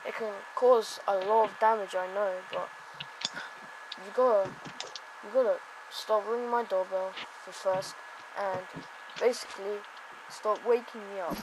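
Woman acting a male sorcerer hero, boastful, exasperated, slow halting delivery, game roleplay, noisy recording. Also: mid-range voice, fairly even intonation, close to an everyday voice. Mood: weary, annoyed. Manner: halting, improvised, exclaiming. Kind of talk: amateur improvised game roleplay film. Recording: noisy amateur game-capture audio.

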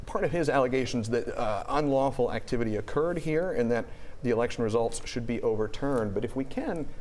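An American man in his thirties explaining news live on air as a TV reporter, in a measured, careful manner. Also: mid-range voice, animated, a little mellow, slight background noise. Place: television news studio.

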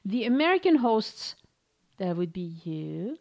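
A woman's voice: medium pitch, sing-song, a little slow, creaky, a little mellow. A woman hosting a travel podcast, chatting casually, laughing often and stumbling over listener names as she reads reviews aloud. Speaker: podcast host.

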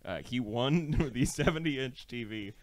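A man's voice: mid-range voice, expressive, unhurried, no room sound. A man in his thirties co-hosting a casual comedy podcast, joking and swearing into a handheld mic. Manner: joking, profane. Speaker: podcast co-host. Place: small podcast set, indoors. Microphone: handheld microphone.

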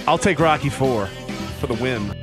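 A man's voice: mid-range voice, lively intonation, normal speed. A man hosting a casual sports and comedy radio show, talking emphatically and repeating words, close on a studio microphone. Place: small studio with acoustic foam. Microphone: close studio microphone with headset. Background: music.

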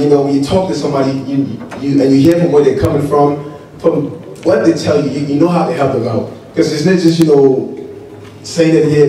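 A man's voice: on the high side, mellow, a little hiss or hum. A young man leading the youth ministry, chatting relaxedly before a spoken word poem, saying "you know" a lot. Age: young adult. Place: large church hall stage. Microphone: handheld mic, far camera. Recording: echoey hall with crowd, from far off.

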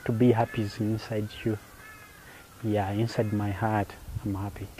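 A man in his thirties with a Ugandan accent, giving a personal testimony in a halting, plain-spoken, earnest way. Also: on the low side, flat delivery, dark tone, slight background noise, poor audio. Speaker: interviewee. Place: outdoors by a garden wall. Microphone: lapel mic.

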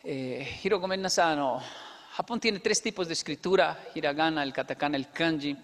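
Colombian man, 30s, high voice, lively storyteller, handheld mic, long hall echo. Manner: lively, acts out dialogue with quick asides. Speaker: motivational speaker. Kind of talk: stage talk with storytelling. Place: large indoor stage hall. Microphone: handheld microphone.

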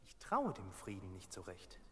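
An actor playing a cautious, worried royal servant in a Bible audio drama, earnest and confiding. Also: male voice, deep voice, wildly swooping pitch, normal speed. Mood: relieved, wary.

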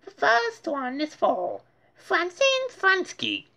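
A woman's voice: very high-pitched, huge pitch swings, slow, gravelly, sharp tone, voice pushed up, exaggerated voice. A woman voicing a bossy, haughty little rich girl in a storybook, declaiming grandly. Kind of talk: storybook character voice.

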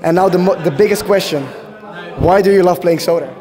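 A man hosting a vlog, interviewing people at a busy event with quick casual questions and frequent laughs. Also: sits high. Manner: upbeat, laughs often. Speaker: vlog host interviewing attendees. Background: busy crowd chatter.

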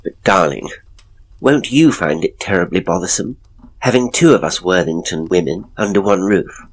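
A man voicing an ailing, frightened married woman who speaks in a halting, hushed way in a horror story. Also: sits low. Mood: wry, weary.

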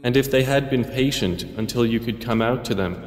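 A man's voice: medium pitch, brisk. A man reading a scripture translation aloud in a solemn, scripted way. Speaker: translation narrator. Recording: echoey reverberant room.

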